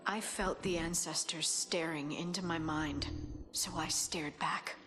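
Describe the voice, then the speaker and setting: Haunted, low-voiced female scientist. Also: fairly even intonation, measured pace, a bit bright, faint music behind. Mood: haunted, ominous. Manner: grave, intense, dramatic. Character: haunted, defiant female scientist with grim visions. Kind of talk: video game cinematic acting.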